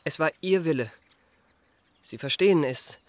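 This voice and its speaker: An actor playing a suave young gentleman charmer in a radio drama, courteous and ornately polished. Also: a little high, measured pace, dry recording, close to an everyday voice. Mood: courteous, wistful. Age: young adult. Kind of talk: German radio drama acting.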